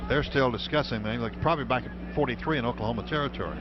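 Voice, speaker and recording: A man's voice: mid-range voice, quick pace. An American man calling live football play-by-play on the radio from a stadium booth. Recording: dull, noisy old radio broadcast.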